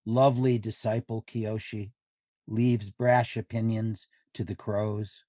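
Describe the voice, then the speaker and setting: A man giving a literary reading of diary entries in measured, deliberate phrases. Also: fairly low pitch, flat delivery, warm tone, no echo.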